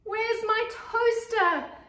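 Woman doing a shrill, outraged little monster. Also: sharp tone, heavily acted. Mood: indignant. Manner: exclamatory, drawn-out indignant delivery. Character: small feisty male toast-loving cartoon monster, easily outraged. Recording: echoey room, camera mic.

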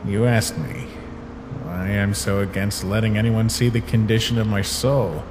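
A man voicing a weary, gentle skeleton monster in a comic dub, speaking in a halting, resigned way. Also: lowish voice, fairly even intonation, background noise, natural voice. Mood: somber, resigned. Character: weary, guilt-ridden male skeleton monster, protective and gentle. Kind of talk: dramatic comic dub voice acting.

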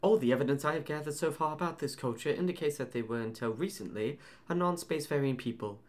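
A woman's voice: deep voice, quick pace, a little mellow, pitched below the performer's own voice, close to an everyday voice. A woman doing a prim, fussy protocol droid. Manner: prim, professorial.

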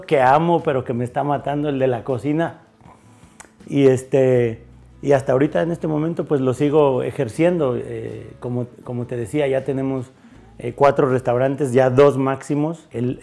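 A man in his forties from Mexico, a chef answering interview questions in a bar, halting with many fillers and restarts, recorded on a lapel mic. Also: medium pitch, creaky, warm tone. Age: 40s. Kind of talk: interview conversation. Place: bar with bottle shelves.

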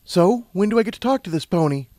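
A man acts out a young female unicorn pilot in a storybook, anxious and determined. The voice is lifted well up in pitch. It pushes forward with urgent insistence, in a dry, close recording. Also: expressive, quick pace, dark tone, no echo.

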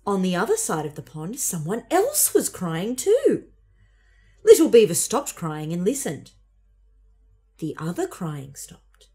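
A woman's voice: on the low side, huge pitch swings, a little slow, mellow. A young woman narrating a children's story expressively as a storybook reader.

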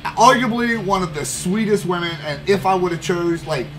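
A man's voice: high-pitched, animated, normal speed, fairly bright tone, rough recording. A young man streaming from a headset at his gaming desk, telling a long story in a loose, profane, rambling way full of fillers. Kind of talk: casual storytelling while gaming. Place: indoor room at gaming desk. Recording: background guitar music playing.

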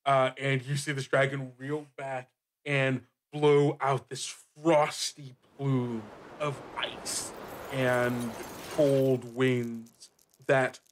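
A man improvising narration as a game master, casual and halting, with lots of filler words. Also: medium pitch, level tone, a little hiss or hum. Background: music bed.

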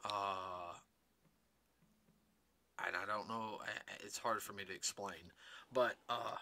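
Bright-voiced raspy man chatting. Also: lowish voice, fairly even intonation, slow. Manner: rambling, many fillers and restarts. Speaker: podcast co-host. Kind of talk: casual movie review chat.

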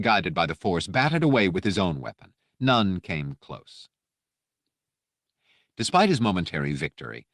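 Very deep-voiced male audiobook narrator. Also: a bit bright, dry recording, studio quality. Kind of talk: scripted dramatic audiobook narration.

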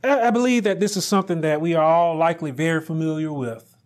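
A middle-aged male pastor preaching a sermon, speaking deliberately and rising to loud, emphatic peaks. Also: on the high side.

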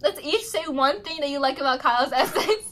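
A woman's voice: high-pitched, animated, a little fast, a bit bright, a little hiss or hum. A young woman on a casual video call, reading a friend's essay aloud and teasing it with lots of giggles. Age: young adult. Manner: giggly, teasing, mock-incredulous. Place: small bedroom indoors. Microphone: laptop or phone on a video call.

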